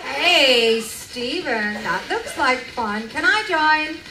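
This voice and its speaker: A woman's voice: sits high, expressive, takes it slowly, noisy background. A woman playing a playful, fun-seeking cruise character in an amateur live radio play. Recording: echoey room, crowd behind.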